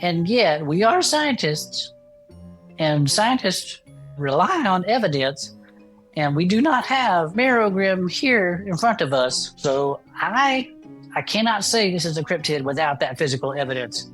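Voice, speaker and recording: A woman's voice: on the low side, sing-song, unhurried, a bit bright, soft background music. A woman playing a folksy, earnest cryptid investigator who co-hosts a podcast in a chatty comedy audio drama. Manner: folksy, says y'all. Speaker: podcast co-host character. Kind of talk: scripted audio comedy dialogue, chatty banter.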